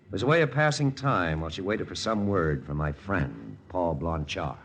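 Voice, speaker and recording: An actor with an American accent playing a world-weary intelligence lieutenant in a 1940s radio drama, grave and measured in a confessional way. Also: male voice, low voice, lively intonation, slight background noise. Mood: grave, regretful. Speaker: actor narrating and playing the lead. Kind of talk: 1940s radio drama acting, first-person narration. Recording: old radio recording with loud music.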